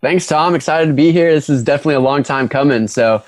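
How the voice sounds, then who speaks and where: A man in his twenties, a guest entrepreneur chatting casually, relaxed and a bit hesitant. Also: a little high, quick pace, no room sound. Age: 20s. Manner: relaxed, hesitant with fillers and restarts. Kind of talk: casual interview chat.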